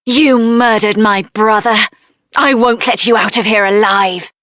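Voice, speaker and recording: A woman voicing a feisty, sassy, sharp-tongued young sorceress out for revenge in a video game. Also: medium pitch, steady tone, unhurried, no echo, studio quality, lightly acted. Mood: vengeful, defiant.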